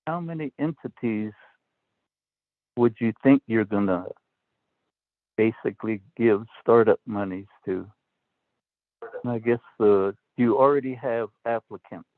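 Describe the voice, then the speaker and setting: Man, slow stern questioning, tinny phone sound. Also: fairly low pitch, dry recording. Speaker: council delegate. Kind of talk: formal committee questioning. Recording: dry tinny phone line.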